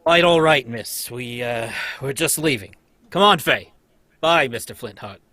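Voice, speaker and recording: A man's voice: medium pitch, animated, measured pace, pushed and bright, no room sound. Man reading a placating veteran circus manager. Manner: placating, polite. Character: smooth older male circus manager, placating. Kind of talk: live old-time radio table read.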